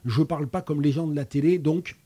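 A man's voice: fairly high pitch, fairly quick, mellow, slightly rough. A man hosting a radio discussion, hesitant and often stumbling over his words. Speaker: radio host. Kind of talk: casual hosted discussion.

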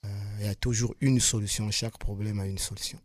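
Man, radio guest, sincere halting testimony, low voice, dry studio. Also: fairly even intonation, no echo, faint music behind. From Republic of the Congo. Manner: hesitant, many pauses and repeated words, sincere. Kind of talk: earnest personal testimony.

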